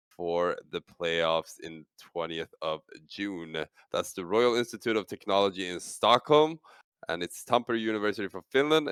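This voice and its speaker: A man casting esports in a casual, energetic post-game chat. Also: on the low side, clear, resonant tone, dry recording, studio quality. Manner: energetic, excitable, loud sign-offs. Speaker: esports caster.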